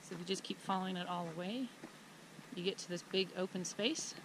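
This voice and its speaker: A woman's voice: lowish voice, fairly quick, background noise. A woman travel vlogger giving casual, chatty commentary from inside a truck on a dirt road, thinking aloud as she goes. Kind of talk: casual commentary while driving.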